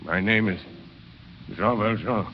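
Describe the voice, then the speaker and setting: An actor playing a hungry, humble ex-convict traveler with halting, plain-spoken pleading in an old radio drama. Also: male voice, on the low side. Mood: humble, desperate. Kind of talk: 1930s radio drama acting. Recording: muffled, noisy vintage radio recording.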